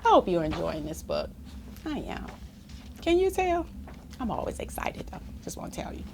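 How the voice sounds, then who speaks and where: A woman reading a children's picture book aloud expressively, engaging listeners with questions and asides in an animated manner. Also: low voice, fairly quick, gravelly, a little hiss or hum. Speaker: storytime reader.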